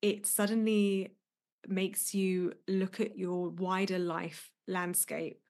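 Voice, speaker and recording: A woman's voice: mid-range voice, level tone, slow, darkish tone. A woman hosting a podcast and interviewing a guest, speaking in an encouraging, thoughtful way. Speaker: podcast host, coach.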